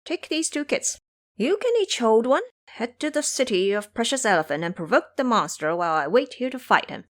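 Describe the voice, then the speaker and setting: A woman's voice: fairly high pitch, big pitch swings, moderate pace. A woman voicing a bossy, brash male monkey hero in an audio drama, with a commanding manner.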